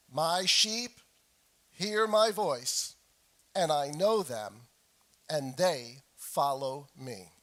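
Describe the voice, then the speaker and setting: A middle-aged man preaching a sermon as a pastor, hoarse from a cold, speaking deliberately and repeating phrases for emphasis. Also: high-pitched, fairly bright tone. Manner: frequent throat clearing. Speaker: pastor preaching to his congregation. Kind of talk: sermon with scripture reading.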